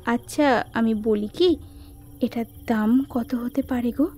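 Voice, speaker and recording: A woman voicing a frail, worried village wife in a Bengali animated folk tale, with a West Bengal accent and a plaintive, questioning manner. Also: on the high side, measured pace, soft background music. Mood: practical, curious. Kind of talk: animated Bengali folk ghost story dialogue.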